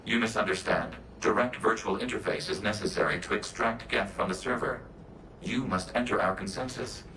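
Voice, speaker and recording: An actor voicing a calm, logical synthetic robot in a video game, speaking in formal, measured, literal phrases. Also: male voice, lowish voice, gravelly, a little hiss or hum, rough recording. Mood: measured, factual.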